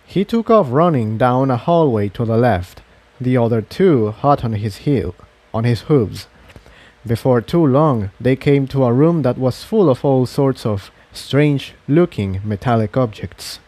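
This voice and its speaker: Mellow man narrating an adventure story. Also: medium pitch, expressive, unhurried, no echo. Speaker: fan fiction reader.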